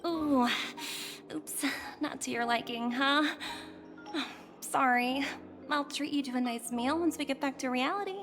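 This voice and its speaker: A woman's voice: high-pitched, a little slow, over music. A woman voicing a poised, playful young corporate director in a video game, teasing and coolly confident in a polished way. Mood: playful, doting.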